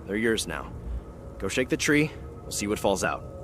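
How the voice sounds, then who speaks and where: A man voicing a gruff, world-weary veteran soldier in a video game, terse and plain-spoken. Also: fairly low pitch, sing-song, moderate pace, over music. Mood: resolute, weary. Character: weary, gruff veteran soldier, a grieving male fire team leader. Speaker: mission-giving game character.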